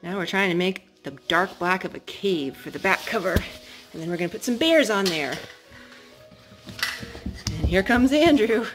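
A woman speaking as a mother leading storytime at home for a small child, in an expressive, sing-song, encouraging way. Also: medium pitch, moderate pace, pauses often, over music. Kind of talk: child-directed storytelling and chat. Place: carpeted room and wood-floored room at home.

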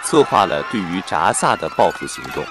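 A man's voice: sits low, big pitch swings, brisk, noisy background. A man reading a scripted plot summary as a narrator. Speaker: film recap narrator.

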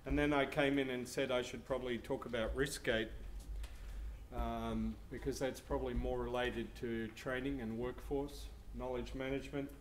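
A man with a big loud voice giving a conference presentation as a research institute speaker, explaining and asking the audience questions. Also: mid-range voice, level tone, moderate pace, a little hiss or hum, poor audio.

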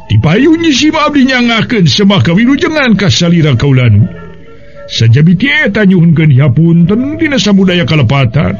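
A man's voice: high-pitched, highs cut off, pitched above the performer's own voice. A man voicing a humble, deferential royal minister with a formal, ceremonious manner. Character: humble, deferential male royal minister, king's younger brother.

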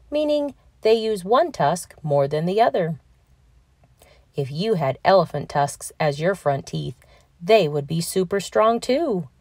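A woman reading a children's picture book aloud in a deliberate, expressive storytelling manner for young listeners. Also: on the low side, no echo, clean studio sound. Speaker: children's read-aloud narrator.

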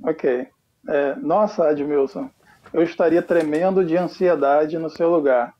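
A Brazilian man in his thirties talks with a Brazilian Portuguese accent as a guest on a video call. He is earnest and hesitant and pauses often with fillers. The webcam audio comes through dull and lo-fi. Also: fairly high pitch. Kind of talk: casual video-call conversation. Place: home room with flag behind.

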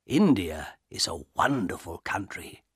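A man's voice: low-pitched, very animated, unhurried, slightly rough, dry recording, studio quality, voice pushed down, full character voice. A man voicing a curious, hospitable old father in a storybook, eager and insistent.

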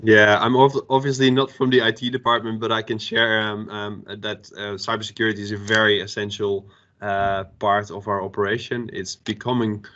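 A man in his thirties answers as a panelist over a video call, stumbling through fillers and restarts. His voice is low and very flat, and the laptop audio is dull and lo-fi. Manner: halting, many fillers and restarts. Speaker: stadium operations panelist. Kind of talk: panel discussion. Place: home room, indoors. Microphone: laptop webcam video call.

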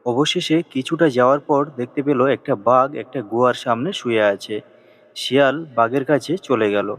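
A man's voice: medium pitch, steady tone, brisk. A man narrating a scripted animal folk tale as a storyteller. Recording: long echoing reverb.

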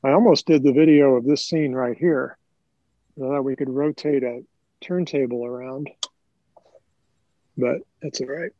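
A man's voice: mid-range voice, measured pace, long pauses, warm tone, no room sound, lo-fi sound. An older man giving an informal live tutorial, explaining things in a conversational way. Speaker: presenter, hobbyist teaching video editing.

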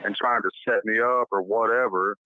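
A man's voice: lowish voice, fairly even intonation, moderate pace, dry recording, phone-quality sound. A man in a private phone conversation, ranting bitterly with swearing, heard on a recorded call.

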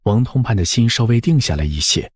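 A man with a husky voice reading a dramatized scripted story as an audiobook narrator. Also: mid-range voice, expressive, fairly quick, dry recording, studio quality.

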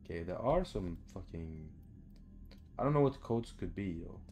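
A man's voice: sits low, expressive, moderate pace, mellow, soft background music. A young man doing casual horror game commentary, muttering to himself in short phrases. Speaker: gamer, let's play host. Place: small room at home.

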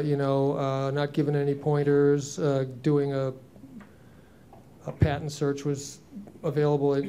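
A man's voice: mid-range voice, dark tone, smooth. A man in his sixties answering on a conference panel, earnest and reflective, with frequent ums and restarts into a handheld mic. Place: conference room, seated on stage.

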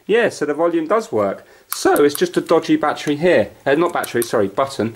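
A man's voice: a little high, big pitch swings, fairly quick. A man thinking aloud in casual, unscripted commentary as he hosts a hands-on amateur repair video. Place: carpeted room at home. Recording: noisy home camera audio with clicks.

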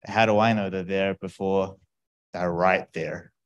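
A man's voice: low voice, fairly even intonation, unhurried, muffled recording. A man speaking informally as a participant in a roundtable discussion. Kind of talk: informal self-introduction.

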